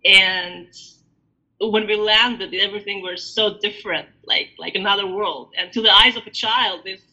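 A woman in her thirties from Albania, a podcast guest telling her story in a reflective, halting way with many fillers. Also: mid-range voice, fairly even intonation, sharp tone, breathy edge. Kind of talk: podcast interview. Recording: dull lo-fi audio.

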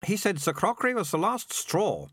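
A man's voice: high-pitched, huge pitch swings, a bit bright, no echo, clean studio sound, voice pushed up, full character voice. A man voicing a bossy, dramatic young girl in a storybook, insistent and very sure of herself. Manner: insistent, brisk, self-assured.

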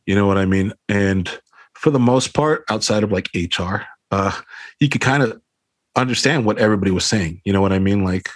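Low husky man rambling on a call. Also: a little fast, dry recording, clean studio sound. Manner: rambling, swears a lot, many fillers like "you know what I mean". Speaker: band frontman, interview guest. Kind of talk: casual interview chat. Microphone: video call.

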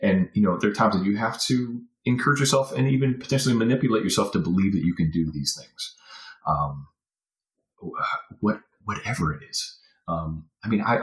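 A man's voice: low-pitched, a bit bright, reverberant, rough recording. A man talking as a podcast guest in casual, rambling talk with many fillers and restarts.

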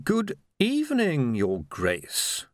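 A man voicing an unruffled, drily amused aristocratic city ruler in an audiobook, measured, formal and understated. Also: on the high side, huge pitch swings, no room sound, clean studio sound, pitched above the performer's own voice, full character voice. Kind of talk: dramatic audiobook character dialogue.

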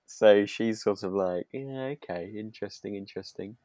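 British male co-host, low register, dry sound, relaxed but halting conversational delivery. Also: dry recording. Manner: hesitant, often repeats words mid-sentence. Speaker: podcast co-host. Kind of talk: relaxed chat discussing a radio drama.

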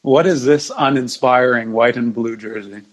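A man's voice: mid-range voice, moderate pace. A man speaking as a guest in casual sports podcast conversation.

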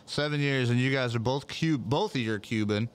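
A man's voice: mid-range voice, a little fast. Laid-back young man hosting a chat. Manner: laid-back, swears casually, trails off with "um". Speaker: podcast host reading live comments. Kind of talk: casual chat.

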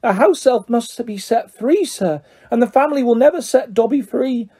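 Small servile house elf, very high and squeaky, fawning and earnest, husky edge, for a storybook. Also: male voice, lively intonation, moderate pace, dark tone, full character voice. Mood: anxious, servile. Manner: speaks of self in third person, fawning.

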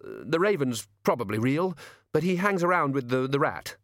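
A man's voice: big pitch swings, moderate pace, a bit bright, no room sound, clean studio sound. A man voicing a cool, brusque young woman in a lifted voice. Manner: clipped and cold, lifted pitch. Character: cold, flustered young woman.

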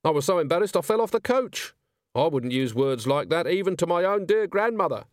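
A man's voice: fairly high pitch, big pitch swings, a little fast, dry recording, clean studio sound, voice pushed up. A man voicing a shaken, indignant coach driver with a prim, aggrieved manner.

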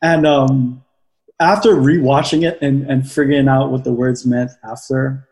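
A man interviewing casually, asking curious questions that trail off softly. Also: medium pitch, little pitch movement, a little slow.

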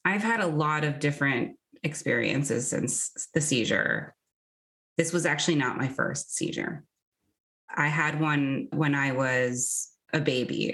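A woman's voice: low-pitched, fairly bright tone. A woman in her thirties from New Jersey, talking candidly and reflectively as a guest in a casual interview. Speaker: podcast guest.

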